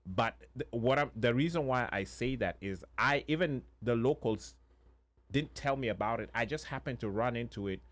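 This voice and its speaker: A man, a co-host telling a story with many pauses and fillers. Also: medium pitch. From Kenya. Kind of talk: casual conversation.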